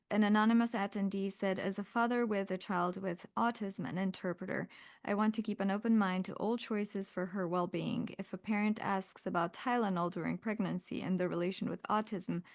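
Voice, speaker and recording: A woman moderating a webinar, reading attendee questions aloud and speaking directly about interpreter ethics. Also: mid-range voice.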